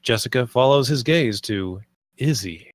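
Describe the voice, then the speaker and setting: A man's voice: mid-range voice, expressive, unhurried, no room sound, clean studio sound. A man reading stage directions aloud as scripted screenplay narration.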